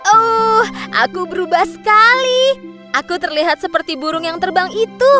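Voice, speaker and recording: A woman's voice: very high-pitched, lively intonation, moderate pace, music in the background, pitched above the performer's own voice. A woman voicing an earnest, wistful young duckling with childlike wonder in a children's storybook. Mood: amazed.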